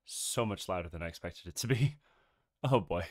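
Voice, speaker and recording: Low-voiced sniffly male streamer. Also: expressive, loud music behind the voice. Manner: halting, full of ums, nervous laughs. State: has a cold, sniffly. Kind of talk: casual solo game stream chat. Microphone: desk microphone.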